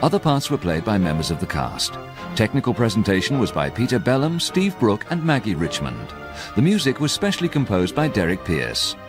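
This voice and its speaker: A man's voice: lowish voice, wildly swooping pitch, fairly quick, music in the background. A man reading formal scripted credits as a radio continuity announcer.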